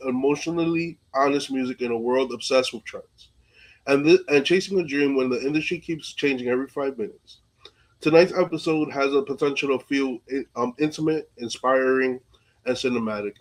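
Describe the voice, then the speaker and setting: Casting: male podcast interviewer, medium pitch, informal and slangy, talks conversationally with plenty of "like" and "you know". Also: poor audio. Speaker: podcast host.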